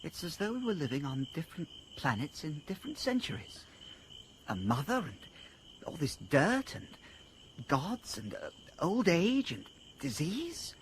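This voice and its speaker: Radio drama: a stammering city man, high and very lively. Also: a little slow, a little hiss or hum, low-quality recording. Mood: bewildered. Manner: stammering, hesitant. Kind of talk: BBC radio drama acting.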